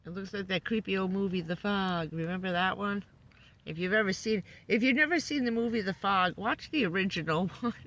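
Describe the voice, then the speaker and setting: Hoarse, gravelly woman rambling through a vlog update. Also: medium pitch, a bit bright. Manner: chatty, loose, with many fillers. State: hoarse, losing her voice. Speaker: van-life vlogger. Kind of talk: casual rambling live update. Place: living in a van.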